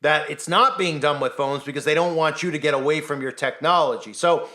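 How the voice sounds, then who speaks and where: A man giving a solo commentary monologue, animated and skeptical, piling up rhetorical questions. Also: a little high, fairly quick. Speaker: commentary channel host.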